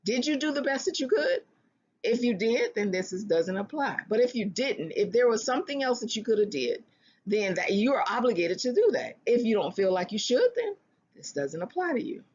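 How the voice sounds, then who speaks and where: A speaker giving earnest relationship advice in a self-help talk, often restarting phrases and slowing down to stress a point. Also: mid-range voice, sing-song, darkish tone, lo-fi sound. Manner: earnest, repeats and restarts phrases, slows for emphasis.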